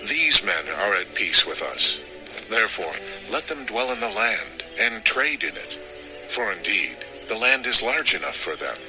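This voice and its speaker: Slow, deep-voiced ancient ruler, persuasive. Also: male voice, big pitch swings, over music, rough recording. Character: dignified middle-aged male ancient ruler pleading for his son. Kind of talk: dramatized audio Bible acting.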